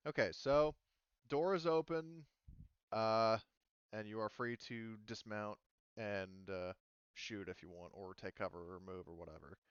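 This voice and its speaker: A man running a tabletop roleplaying game as game master, casual and halting as he thinks aloud. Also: on the low side, smooth, no room sound, dull audio.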